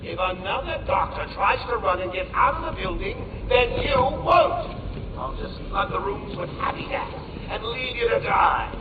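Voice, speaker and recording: An actor playing a gleeful, sadistic clown villain in a video game, taunting and mocking with manic laughter. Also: male voice, high voice, animated, moderate pace, roomy sound, background noise. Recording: tinny distant loudspeaker sound with music.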